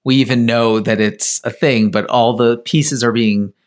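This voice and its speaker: A man's voice: mid-range voice, fairly even intonation, measured pace. A man hosting a podcast interview, upbeat and welcoming as he asks his guests questions. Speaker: podcast host.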